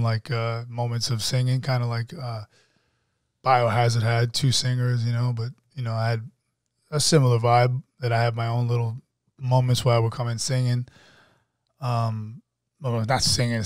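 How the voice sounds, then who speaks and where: A young man talks in a loose, streetwise way and often stumbles over repeated words. His voice sits at an everyday middle pitch. It is recorded close up on a dry mic with no room sound. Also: level tone, moderate pace, a little mellow, clean tone, no room sound. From Queens, New York. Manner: streetwise, repeats words and restarts, says "you know" a lot. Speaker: actor guest. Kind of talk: casual interview chat.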